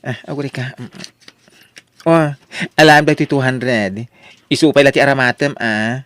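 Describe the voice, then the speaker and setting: A man's voice: medium pitch, fairly even intonation, normal speed, fairly bright tone, no room sound, close to an everyday voice. A man playing a loyal, chiding young student friend in an Ilocano audio drama, with an Ilocano accent. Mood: apologetic, caring.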